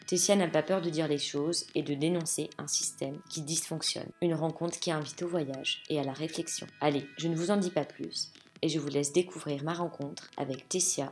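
Female podcast host, low register, polished scripted narration. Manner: poised, even read-aloud delivery.

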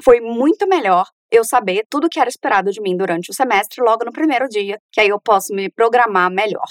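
A woman's voice: medium pitch, dry recording. Chatty young Brazilian woman, dry close sound. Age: young adult. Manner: chatty and enthusiastic, laughs now and then. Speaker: journalism student recounting her exchange. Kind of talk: casual personal account.

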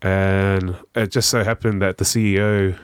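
Laid-back young Kiwi man, low voice. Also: moderate pace, clear, resonant tone, no room sound, clean studio sound. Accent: New Zealand. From New Zealand. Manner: relaxed, rambling, lots of "you know" and "um", says "bro". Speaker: guest, charity founder. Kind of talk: casual podcast conversation.

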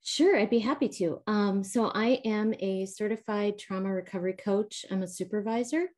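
A woman from the American Midwest with a Midwestern accent mixed with Southern, calmly explaining her coaching work as an interview guest over a video call. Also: mid-range voice, normal speed. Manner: calm, explanatory, frequent um and you know. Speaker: trauma recovery coach, guest. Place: home, calling in remotely.

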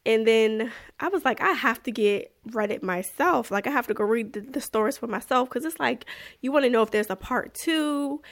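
A young woman hosting a podcast in a casual, chatty way, still a little congested from being sick, with animated exclamations. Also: on the high side. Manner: chatty, animated, self-deprecating, exclaims "woo, child". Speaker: podcast host. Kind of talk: casual podcast chat and story reading.

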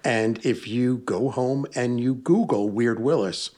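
A middle-aged man with a husky, breathy voice tells stories in a relaxed interview. He is chatty and good-humored, and he often stops and restarts his sentences. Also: medium pitch, measured pace. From Hudson Valley, New York. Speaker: paranormal investigator and author. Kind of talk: casual interview storytelling. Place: basement room with bookshelves.

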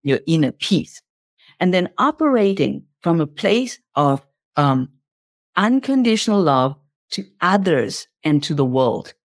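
A woman's voice: on the low side, big pitch swings, a little slow, no room sound, studio quality. A woman speaking as an interview guest, explaining in an impassioned motivational style and repeating phrases for emphasis.

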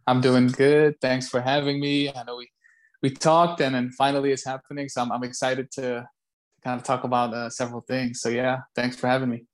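A man from Belgium answers interview questions in a casual way. His voice sits at a medium pitch, and he speaks haltingly, often doubling up on words as he puts his thoughts together. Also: flat delivery. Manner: halting, repeats words as he goes. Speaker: interview guest, call center site director.

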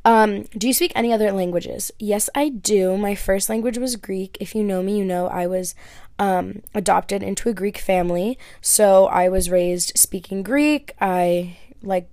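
Young woman podcast host, relaxed chatty delivery, dry close mic. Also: medium pitch, gravelly, no echo. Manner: chatty, lots of "like" and "um". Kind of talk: casual Q&A chat. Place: her bedroom.

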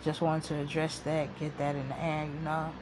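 Soft-voiced low woman podcaster. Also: monotone, normal speed, background noise. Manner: rambling, slangy and informal, talking to listeners. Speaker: podcast host. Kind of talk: casual solo podcast monologue. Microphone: phone. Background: guitar music.

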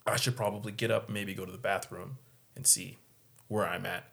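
A man co-hosting a casual podcast, telling a story in an animated way and acting out the people in it. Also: on the low side, normal speed, vocal fry. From San Diego area. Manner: animated, acts out quoted voices. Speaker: podcast co-host.

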